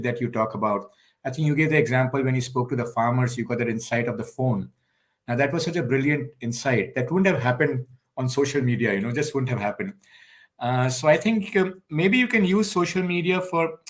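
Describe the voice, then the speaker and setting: A man speaks as a panel guest who comes from India, pressing his points with emphasis and rising energy. His pitch sits mid-range, and his delivery is animated. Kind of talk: panel discussion.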